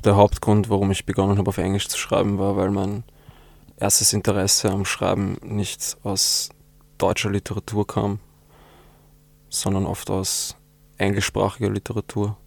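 A man's voice: lowish voice, completely flat delivery, a little slow, creaky, darkish tone, no echo. A young man who is a poet, answering interview questions in a thoughtful, hesitant way with restarts. His first language is German.

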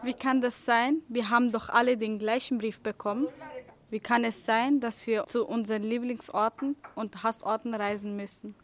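A young female pupil playing an excited, friendly young woman in an amateur school radio play. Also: fairly high pitch, moderate pace, lightly acted. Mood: curious.

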